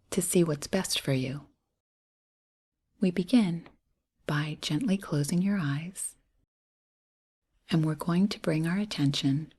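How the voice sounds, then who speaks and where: Slow, breathy woman guiding meditation. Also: low voice. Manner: soothing, gentle, with pauses between short phrases. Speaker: meditation guide. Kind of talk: guided breath and body scan meditation.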